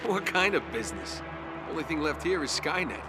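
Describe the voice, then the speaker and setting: An actor playing a gruff, commanding resistance squad boss in a video game, blunt and urgent. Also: male voice, fairly high pitch, sing-song, fairly quick, over loud music. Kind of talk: video game cutscene acting.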